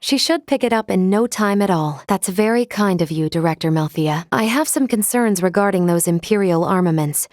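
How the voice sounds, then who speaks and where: A woman playing a logical, polite, protective android first mate in a video game, speaking with formal, precise, measured diction. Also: mid-range voice, darkish tone, no room sound, clean studio sound, lightly acted. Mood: calm, analytical. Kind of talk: scripted video game character lines.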